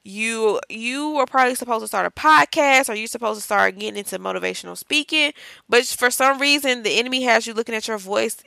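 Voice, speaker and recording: Woman podcast host, bright, gravelly voice, chatty and encouraging, dry close mic. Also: fairly high pitch, fairly quick, no echo. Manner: chatty and encouraging, addresses listeners as y'all and child. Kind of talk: casual faith-based podcast talk.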